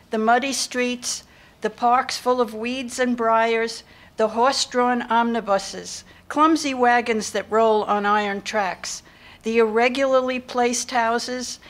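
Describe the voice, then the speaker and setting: A woman in her sixties reading scripted historical narration aloud at a podium. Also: fairly high pitch. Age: 60s. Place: lecture hall stage before a seated audience. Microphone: podium microphone.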